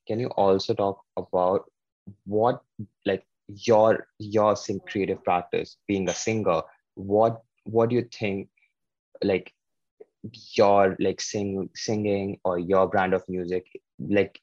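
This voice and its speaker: A man hosting a casual podcast interview, often halting and restarting his words. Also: deep voice, steady tone, darkish tone, no room sound, muffled recording. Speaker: podcast host.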